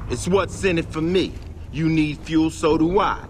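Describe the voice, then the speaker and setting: An actor playing a menacing, embittered gang boss and fuel baron in a video game, with a profane, swaggering, confrontational manner. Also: male voice, a little high, unhurried, slight background noise, natural voice. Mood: smug, threatening. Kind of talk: video game cutscene acting.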